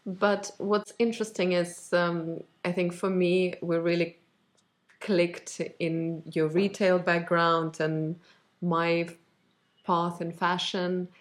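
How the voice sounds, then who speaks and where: A woman hosts a casual podcast interview, her voice set a little low. She introduces her guest with enthusiasm and leans on gentle fillers as she shapes her questions. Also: little pitch movement, slow. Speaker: podcast host.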